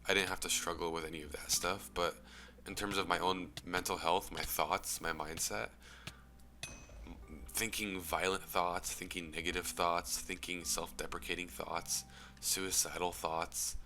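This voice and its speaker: A young man in earnest, reflective commentary whose phrases trail off into breathy near-whispers. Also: low voice, level tone, measured pace, pushed and bright, slight background noise. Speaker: YouTube commentator. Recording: music playing under the voice.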